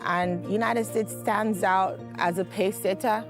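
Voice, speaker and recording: A woman's voice: mid-range voice, steady tone, unhurried. A woman from Nigeria, an international student, speaking in an enthusiastic, upbeat way in a scripted promo testimonial. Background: background music.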